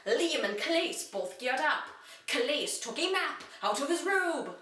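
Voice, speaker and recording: A woman giving a deliberate, dramatic reading of an amateur children's fantasy story, like a storyteller. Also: sits high, animated, bright, forceful tone, recorded from a distance. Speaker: reader. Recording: echoey room, away from the mic.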